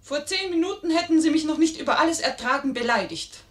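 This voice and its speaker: A woman's voice: sits high, lively intonation, fast. An actress playing a bold, witty, scheming lady who is teasing and proud, in a 1950s German radio play. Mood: indignant.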